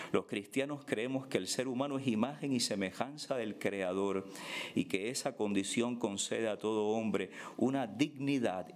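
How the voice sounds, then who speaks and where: A man from Cuba, reading a formal prepared speech as a church representative at a symposium. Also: fairly low pitch. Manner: measured, reads a written address.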